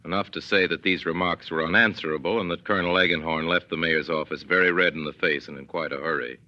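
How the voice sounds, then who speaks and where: A man narrating a scripted 1940s radio play with measured, wry authority. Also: deep voice, fairly even intonation, bright, forceful tone. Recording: dull 1940s radio recording.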